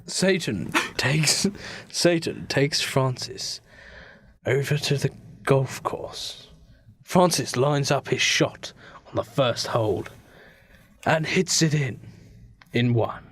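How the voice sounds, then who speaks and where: A man narrating an improvised comic story as a podcast narrator, mock-serious and pausing for dramatic effect. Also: mid-range voice, animated, a bit bright, husky.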